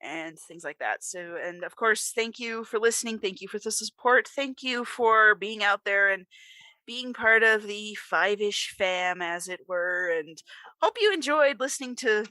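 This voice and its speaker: A woman's voice: medium pitch, a little slow, sharp tone, no room sound. An American woman co-hosting a podcast in casual chat, with folksy turns of phrase. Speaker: podcast co-host.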